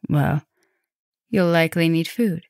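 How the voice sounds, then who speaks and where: Low-voiced, intimate vampire mistress, acted by a woman. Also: unhurried, darkish tone, no room sound, studio quality. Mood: playful, reassuring. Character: caring, softly dominant female vampire professor, protective mistress. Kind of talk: ASMR roleplay, speaking intimately to the listener.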